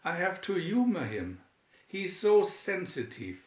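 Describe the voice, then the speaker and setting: A man's voice: high-pitched, big pitch swings, unhurried, voice pushed up. A man voicing a sweet but scolding, protective little old lady in a storybook reading. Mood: fondly explaining. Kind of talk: storybook read-aloud. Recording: dull, roomy home recording.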